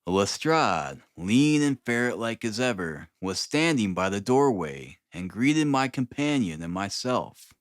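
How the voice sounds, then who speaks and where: A man narrating a classic story as an audiobook, in a measured, deliberate, dramatic reading style. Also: on the low side, big pitch swings, dry recording, studio quality. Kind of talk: dramatic scripted literary narration.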